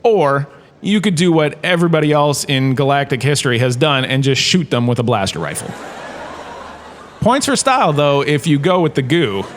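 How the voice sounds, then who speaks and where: A man's voice: fairly high pitch, animated, moderate pace, a little hiss or hum, lightly acted. A man playing a smug washed-up star who lectures as a history professor, conversational and wry, in a comedic audio drama. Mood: wry, breezy. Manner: conversational, self-satisfied, wry asides.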